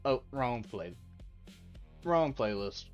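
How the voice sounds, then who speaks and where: A man's voice: medium pitch, lively intonation, slow pace. A young man chatting casually with his livestream viewers, rambling and laughing easily. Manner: rambling, laughs easily, reads out chat messages. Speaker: streamer. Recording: dull lo-fi audio with faint background music.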